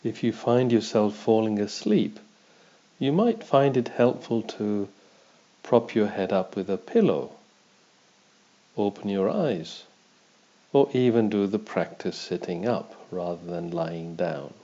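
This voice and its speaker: Guy doing a guided body scan meditation, calm teacher type, lowish voice, really slow, kinda muffled lofi audio. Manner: calm, measured, instructional.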